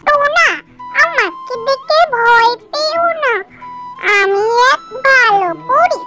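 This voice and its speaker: A very high, childlike fairy put on by a performer speaks slowly and gently in a children's cartoon. She is kind and eager to help, with loud music behind her. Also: expressive, exaggerated voice. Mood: gentle, reassuring. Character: kind helpful sky fairy, gentle and reassuring.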